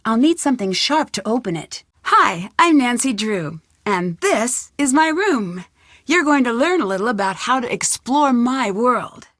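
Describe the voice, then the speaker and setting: A woman playing a confident, inquisitive young female sleuth in a video game, earnest and clear. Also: a little high, animated, unhurried, a bit bright, dry recording, studio quality. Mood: upbeat, instructive.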